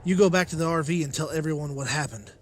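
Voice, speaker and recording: A man's voice: on the high side, slight background noise, lightly acted. A man playing an anxious, determined father and survivor leader in a motion comic, speaking with urgency. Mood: urgent, determined. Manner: urgent, halting. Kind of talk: dramatized motion comic dialogue.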